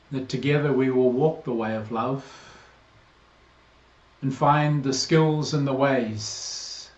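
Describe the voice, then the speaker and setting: Older New Zealand priest, earnest and roomy. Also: male voice, medium pitch. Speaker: priest, incoming interim rector. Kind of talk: recorded greeting to a parish. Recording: roomy, slightly dull recording.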